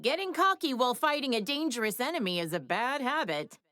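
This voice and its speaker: A glamorous, doting middle-aged mom from an anime game. Her voice sits fairly high and swings widely in melody. She is breezy, teasing and sure of her opinions. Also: animated, a little fast, bright, forceful tone, no room sound. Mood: breezy, chatty. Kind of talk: English anime game dub banter.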